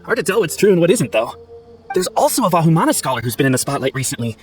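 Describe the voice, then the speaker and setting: Quick, gossipy scholar. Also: male voice, on the high side, big pitch swings, faint music behind. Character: gossipy scholar passing on rumours. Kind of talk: video game voice acting.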